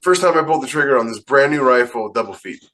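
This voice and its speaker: A man's voice: mid-range voice, animated, fast talker, fairly bright tone. A man co-hosting a podcast, chatting casually in a matter-of-fact, opinionated way. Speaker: podcast co-host. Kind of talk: casual group podcast chat.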